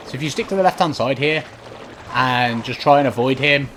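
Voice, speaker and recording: A man talks through a video game in a casual, explanatory way, guiding step by step. His pitch is average for a man. Faint keyboard and click noise sits behind his voice. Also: brisk, fairly bright tone. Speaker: video game walkthrough commentator. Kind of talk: casual gameplay commentary.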